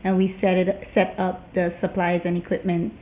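A female operating room nurse answers questions briefly and matter-of-factly. Her voice comes through thin and phone-like, with clear noise behind it. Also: lowish voice, monotone, normal speed. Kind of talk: interview answers. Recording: tinny phone-like sound with clear background noise.

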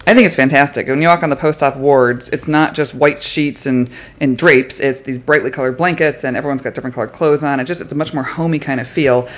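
A man's voice: medium pitch, steady tone, quick pace. A man hosting a podcast and interviewing his surgical team during a hospital mission, chatting in an upbeat, friendly way. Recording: dull lo-fi recording.

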